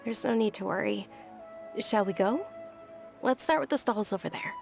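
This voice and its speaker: A woman voicing a gentle, polite young woman in a video game, composed and reassuring, with a soft, measured manner. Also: medium pitch, animated, creaky, music in the background. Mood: calm, polite.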